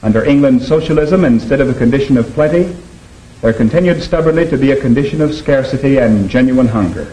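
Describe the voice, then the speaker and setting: A man narrating a 1950s documentary film in a steady, grave, explanatory tone. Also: medium pitch, a little fast, a little hiss or hum, low-quality recording.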